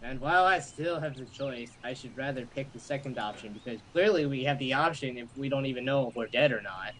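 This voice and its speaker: A man's voice: mid-range voice, brisk, a bit bright. A man reading game dialogue aloud and breaking off into rambling, joking asides, on an amateur recording. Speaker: amateur voice-over player in a group. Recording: noisy amateur home recording.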